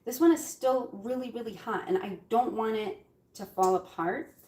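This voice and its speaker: A woman's voice: on the high side, moderate pace, warm tone. Chatty woman with an Algerian Arabic accent. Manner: chatty, giggly, many fillers. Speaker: cooking vlogger. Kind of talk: casual chat while baking. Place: home kitchen.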